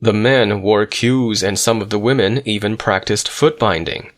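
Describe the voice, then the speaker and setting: A man with a lowish voice reads a first-person memoir aloud. His pacing is measured and reflective, unhurried storytelling with steady, even phrasing. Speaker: audiobook narrator. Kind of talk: scripted memoir narration.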